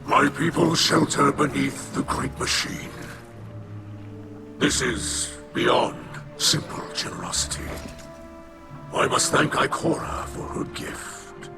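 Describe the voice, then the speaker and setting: A man voicing a courteous alien refugee leader in a video game, formal and gravely earnest, with a breathy, hushed rasp. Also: mid-range voice, slow. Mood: solemn gratitude. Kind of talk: video game cutscene acting. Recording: voice under loud game music.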